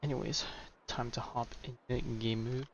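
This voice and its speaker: A man's voice: mid-range voice, fairly even intonation, moderate pace, creaky, slight background noise. A man giving casual, offhand commentary as he streams a video game, with muttered asides. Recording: slightly dull microphone, game music behind. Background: game music.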